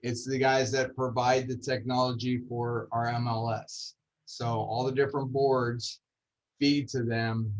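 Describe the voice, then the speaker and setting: A man walks a group through a training session over a video call. His voice sits at an ordinary middle pitch, and he keeps things relaxed and chatty, dropping jokes as he goes. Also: a little slow, rough recording. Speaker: MLS trainer. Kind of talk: casual online training session.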